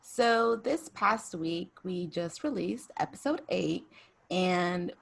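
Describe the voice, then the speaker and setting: A woman's voice: lowish voice, slow pace, gravelly, breathy edge. A young woman co-hosting a podcast, chatting casually on a video call from her room with laughs and fillers. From United States. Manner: relaxed, laughs often, many fillers. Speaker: podcast co-host. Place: bedroom at home, indoors. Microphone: video call webcam mic.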